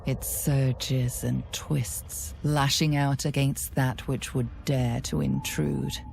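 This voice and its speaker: An actress playing a grave storyteller in a fantasy game, narrating in a hushed, deliberate, dramatic way. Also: female voice, very deep voice, flat delivery, gravelly, a little hiss or hum, full character voice. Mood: grave, intense. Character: grave, ominous female storyteller of a dark fantasy. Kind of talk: scripted second-person game narration.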